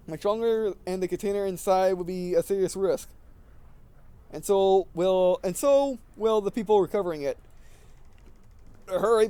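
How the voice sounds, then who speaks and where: A man's voice: high voice, dark tone, clear, resonant tone, no room sound. A man hosting a gaming video, reading visual novel text aloud with casual commentary and often stumbling and rereading phrases.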